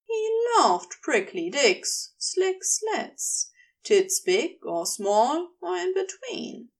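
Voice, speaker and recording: A woman reading fiction aloud as an expressive scripted narration. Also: sits high, drawn-out words, recorded from a distance. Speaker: fan fiction audiobook reader.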